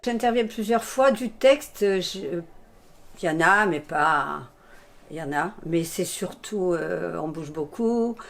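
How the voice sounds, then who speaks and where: An actress chatting casually in an interview, telling lively anecdotes with quick asides. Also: female voice, fairly low pitch, gravelly, slight background noise.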